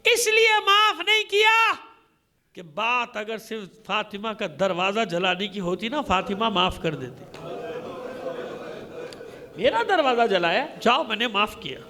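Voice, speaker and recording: A man's voice: sits high, big pitch swings, unhurried, bright, forceful tone. A man with a Pakistani Urdu accent delivers a mourning sermon as a cleric, telling the story in a dramatic, rhetorical way with repeated phrases. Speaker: Shia cleric reciting a majlis. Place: congregation hall.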